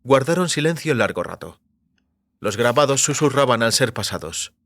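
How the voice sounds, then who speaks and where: A man's voice: mid-range voice, very animated, moderate pace, fairly bright tone, dry recording. A man reading scripted literary narration as an audiobook narrator.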